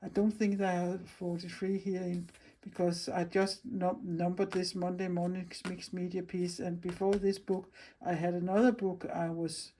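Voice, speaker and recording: Danish woman, halting friendly chat. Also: fairly low pitch, mellow, rough recording. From Denmark. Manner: halting, searching for English words, friendly. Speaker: craft channel host. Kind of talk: casual craft vlog chat.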